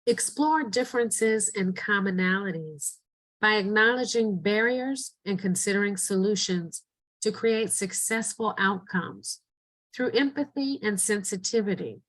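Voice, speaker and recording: A middle-aged woman, an educator, reading a training presentation in a steady, earnest, instructive way. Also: mid-range voice, measured pace. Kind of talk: scripted training narration.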